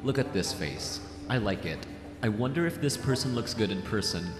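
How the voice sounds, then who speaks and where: A man's voice: fairly low pitch, animated, music in the background, close to an everyday voice. A man playing a possessive, menacing yet playful mafia boss in an ASMR roleplay, with a teasing, theatrical delivery. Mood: calm, amused. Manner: slow teasing, sinister chuckles, theatrical.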